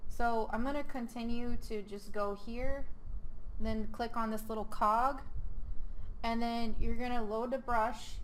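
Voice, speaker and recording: A woman host walks through a software tutorial at a slow, unhurried pace, her fairly high voice a little hoarse. She speaks casually and hesitantly, filling gaps with ums and correcting herself as she goes. A faint hiss sits under the desktop mic recording. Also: low-quality recording. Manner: relaxed, hesitant, many ums and self-corrections. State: losing her voice.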